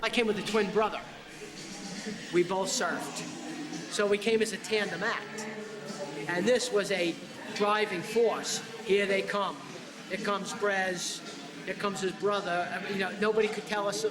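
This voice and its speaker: A man's voice: high voice, fairly even intonation, unhurried, pauses often, a crowd in the background. An older man from New York, a veteran surfer, reminiscing emphatically in a documentary interview. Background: loud music.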